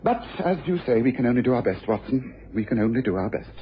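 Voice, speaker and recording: An actor playing a shrewd, commanding gentleman detective in a radio drama, speaking formally and incisively. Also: medium pitch, sing-song, close to an everyday voice. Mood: grave. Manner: brisk, crisp, formal, incisive. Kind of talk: old-time radio drama acting. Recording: noisy muffled old radio recording.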